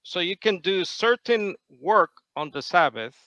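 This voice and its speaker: Bright, crisp-voiced male debater. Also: high-pitched, animated, dry recording, highs cut off. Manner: measured, probing questions. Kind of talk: debate cross-examination.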